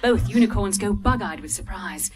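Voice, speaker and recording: A woman reading stage directions at a live script reading, brisk and amused, with the odd slip. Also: mid-range voice, expressive. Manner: brisk, amused, occasional slips.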